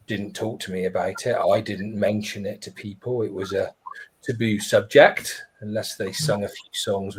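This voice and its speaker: A man telling a personal story on a live webinar, reflective and earnest, pausing and restarting as he goes. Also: on the low side, slight background noise, poor audio. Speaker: webinar panelist.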